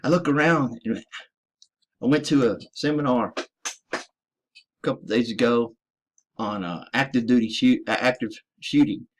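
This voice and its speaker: A man's voice: medium pitch, moderate pace, pauses often. Profane, ranting American veteran man. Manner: profane, emphatic, addresses listeners as ladies and gentlemen. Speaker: military veteran. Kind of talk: ranting monologue.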